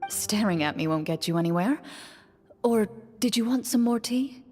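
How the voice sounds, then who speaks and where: A poised, measured storyteller in an anime game, played by a woman. She narrates as game master in a medium-pitched voice over clear background music. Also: animated, darkish tone, no room sound, close to an everyday voice. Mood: coolly teasing. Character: composed, teasing woman narrating a tabletop tale as game master.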